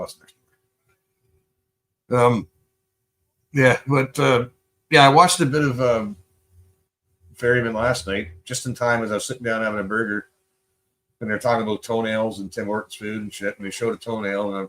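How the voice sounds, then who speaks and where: A man's voice: fairly low pitch, fairly bright tone. Profane, rambling male streamer from Canada. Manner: loose, profane, rambling with fillers. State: high. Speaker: live streamer reacting to videos. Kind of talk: casual rambling stream chat.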